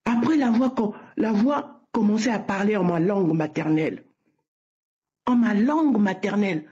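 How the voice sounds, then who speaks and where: A woman's voice: medium pitch, lively intonation, normal speed, mellow. A woman giving an earnest spoken religious testimony, often repeating her words. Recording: muffled lo-fi recording.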